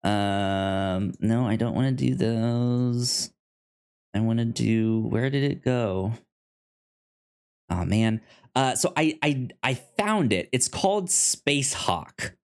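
A man with a mid-pitched voice, speaking slowly as a podcast co-host. He is casual and unscripted, halting often and repeating the starts of words as he goes. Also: animated, smooth.

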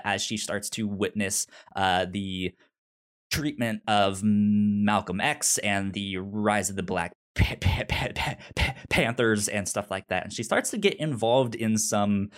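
A man with a pronounced stutter, casually pitching films as a podcast host in a halting, informal way. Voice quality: pronounced stutter with repeated initial sounds. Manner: halting, informal, hesitant phrasing.

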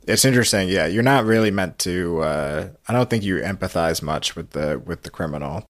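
A man's voice: low voice, expressive, fairly quick, dry recording, studio quality. A man hosting a podcast, talking in a casual, thoughtful, conversational way. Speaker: podcast host.

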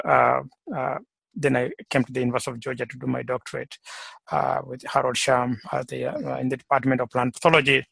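A middle-aged man from Kenya, guest on a podcast, works through his career story in a halting way, full of fillers and restarts. His voice is strongly gravelly and husky, with a flat, level pitch. The sound is dry and close. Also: no room sound, low-quality recording. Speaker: guest, plant pathologist. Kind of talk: conversational interview.

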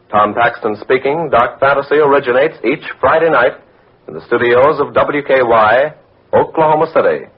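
A male announcer reads scripted credits in vintage radio style. His voice is somewhat husky, and the old broadcast sound is a little dull. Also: medium pitch. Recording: slightly muffled old radio recording.

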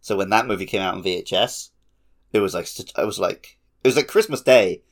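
A man chatting casually as a podcast host, telling stories in an animated, laughter-prone way. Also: on the low side, a little fast. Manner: animated storytelling with frequent laughter. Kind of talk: casual chat.

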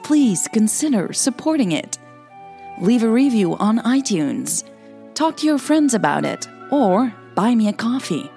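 A woman's voice: a little high, creaky, warm tone. A woman reading scripted romantic fiction and podcast announcements as narrator and host. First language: Dutch.

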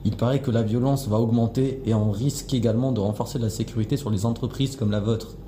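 A man voicing a stern male police officer who speaks formally and gravely. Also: medium pitch, steady tone, quick pace, warm tone, roomy sound, slight background noise, voice pushed down, natural voice.

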